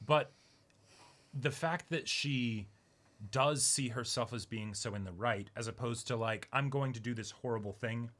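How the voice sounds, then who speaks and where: A man's voice: mid-range voice, measured pace, a bit bright. A man co-hosting a casual discussion, thinking aloud in loose, conversational phrasing. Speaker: co-host of an anime reaction discussion.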